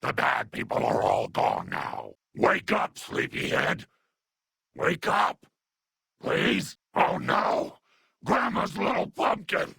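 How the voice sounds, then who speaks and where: An old mutant grandmother acted out by a man, doting and muddled, with menace underneath. The voice is extremely husky and gravelly, and swings wildly in pitch as it croons through game dialogue. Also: wildly swooping pitch, sharp tone, no room sound, studio quality, full character voice. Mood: soothing. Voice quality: very raspy, gravelly, growling. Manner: doting endearments, slow crooning delivery. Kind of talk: video game character dialogue.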